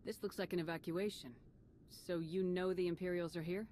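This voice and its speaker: A woman's voice: on the low side, fairly even intonation, fairly quick, mellow, a little hiss or hum, close to an everyday voice. A woman playing a steadfast military commander in a video game, direct and assured in her delivery. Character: confident, steadfast female military commander hero. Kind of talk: video game cutscene acting.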